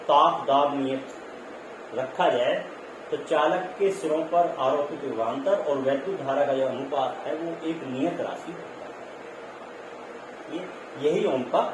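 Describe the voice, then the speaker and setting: Male physics teacher, slightly high voice, explanatory lecture delivery, heard from a distance with heavy room echo. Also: takes it slowly, long pauses. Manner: explanatory, repetitive, with check-in tags. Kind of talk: classroom lecture mixing in English terms. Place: echoey room. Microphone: distant microphone. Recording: very echoey, distant mic, clear noise.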